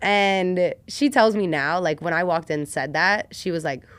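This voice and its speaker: A woman with a fairly low voice and a close, dry sound tells personal stories as a podcast guest. She speaks in an animated, slangy way, slipping into quoted lines as she goes. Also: normal speed, clear, resonant tone, no room sound. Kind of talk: casual personal storytelling in conversation.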